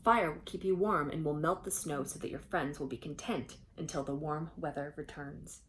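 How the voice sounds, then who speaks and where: A woman voicing a solemn, kindly male sky spirit in a storybook, with measured pronouncements and some background noise. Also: deep voice, voice pushed down, natural voice. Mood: gentle, firm.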